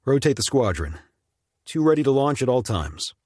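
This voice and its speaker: Brooding navy pilot, acted by a man, swinging pitch. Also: sing-song, normal speed, darkish tone, no echo, clean studio sound. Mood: commanding. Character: troubled hard-drinking male navy pilot officer, guilt-ridden, terse. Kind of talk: dramatic animatic film dialogue.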